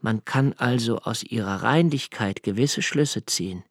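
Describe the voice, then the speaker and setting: An actor playing a sensitive, impoverished young poet, speaking an introspective, deliberate monologue in a radio play. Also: male voice, medium pitch, close to an everyday voice. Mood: proud, self-justifying. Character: sensitive, brooding, poor young foreign poet and diarist. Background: music.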